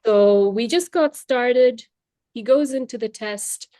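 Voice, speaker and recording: A woman's voice: a little high, slow pace, dark tone, dry recording. A young adult woman, Tamil native, talking about her work as a language trainer in a reflective, chatty way, with fillers and restarts. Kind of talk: casual interview. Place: Luxembourg.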